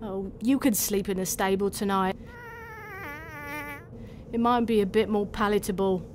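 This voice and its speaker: A woman's voice: mid-range voice, steady tone, a little slow, a little hiss or hum, lightly acted. A woman voicing a blunt but kindly male elf with a temper, rambling and chatty in an audio storybook. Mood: grudgingly kind. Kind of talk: character acting in an audio storybook. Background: loud music.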